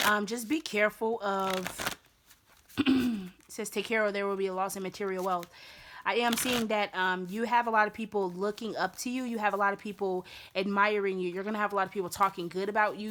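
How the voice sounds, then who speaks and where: Chatty raspy-voiced woman reading tarot. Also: mid-range voice, fairly bright tone, a little hiss or hum. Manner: chatty, repeats herself with many fillers. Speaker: tarot reader.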